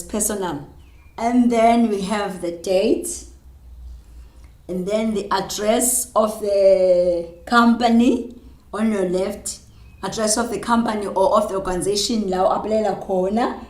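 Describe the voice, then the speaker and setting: South African woman, Zulu accent, mid-pitched teacher dictating slowly, code-switching, echoey classroom. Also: fairly even intonation, darkish tone. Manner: mixes Zulu and English, dictates slowly while writing. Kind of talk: classroom lesson on job applications. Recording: roomy, echoey room.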